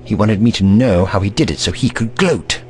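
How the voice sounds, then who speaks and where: A man's voice: lowish voice, lively intonation, normal speed, darkish tone, soft background music, close to an everyday voice. A man voicing a haughty, eccentric time-traveling scientist in an audio drama, pompous and lecturing.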